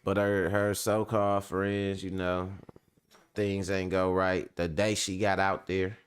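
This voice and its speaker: A man's voice: deep voice, level tone, slow, clear, resonant tone. A man hosting a show in casual chat, talking in loose, joking, profane banter. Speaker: show host.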